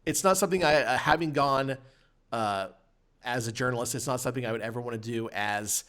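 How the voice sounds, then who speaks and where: Male podcast co-host, thirties, medium voice, conversational and opinionated with a halting flow. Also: medium pitch, a bit bright. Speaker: podcast co-host and games journalist. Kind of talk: casual opinionated podcast chat.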